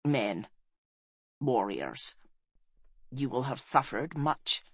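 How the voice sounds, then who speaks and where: Woman acting frail, breathy dying warrior queen. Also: rumbling low, very animated, no echo, pitched below the performer's own voice, heavily acted. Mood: weak, solemn. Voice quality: weak, breathy whisper. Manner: halting, solemn. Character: dying warrior queen, weak but prophetic and tender.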